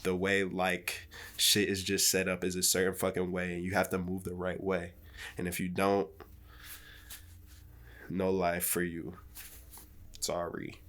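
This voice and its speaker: A man's voice: low-pitched, completely flat delivery, a little slow, pauses often, fairly bright tone. A man in his twenties chatting casually as a podcast guest, laid-back and rambling, swearing freely. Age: 20s.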